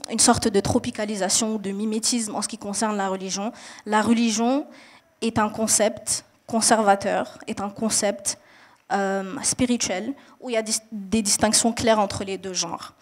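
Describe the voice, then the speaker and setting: A woman's voice: mid-range voice, fairly even intonation, moderate pace. Earnest young woman on a panel. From Mali and Niger, raised in Saudi Arabia. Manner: earnest, explanatory, frequent hesitations and restarts. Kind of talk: panel discussion answer.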